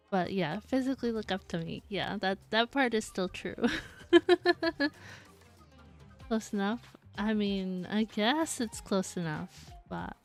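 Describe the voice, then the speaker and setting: A woman's voice: mid-range voice, slow, long pauses. Giggly chatty woman streamer. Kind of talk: casual live-stream chat. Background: faint game music.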